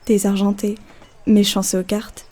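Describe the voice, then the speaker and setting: A woman's voice: medium pitch, little pitch movement, unhurried, dark tone. A woman narrating a folk tale as a scripted audio story. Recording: faint music behind. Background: faint music.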